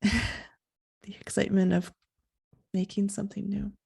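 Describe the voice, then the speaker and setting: A woman hosting a podcast in a soft, sleepy voice, talking in a slow, halting, reflective way. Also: medium pitch, little pitch movement, gravelly, husky. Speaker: podcast host.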